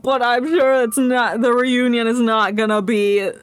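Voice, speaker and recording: Girl streamer w a highish voice, chatty wry commentary on her game. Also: little pitch movement, unhurried, smooth. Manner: wry, informal. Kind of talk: casual reactive game commentary.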